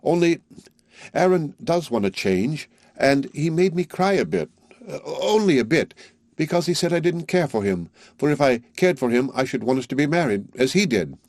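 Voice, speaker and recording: A man's voice: on the high side, big pitch swings, moderate pace, a little mellow, no echo, pitched above the performer's own voice. A tender, artless young country girl speaks in a raised, lilting voice, simple and earnest in every word, as a male narrator puts her on. The sound is close and dry, with no room around it.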